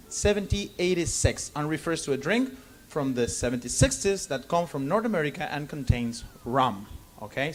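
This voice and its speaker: A man from Argentina with a Spanish accent, giving an informal lecture in halting English and often checking in with "okay?". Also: on the high side. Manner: halting non-native English, frequent "okay?" check-ins. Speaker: bartender giving a seminar. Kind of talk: informal lecture to a live audience.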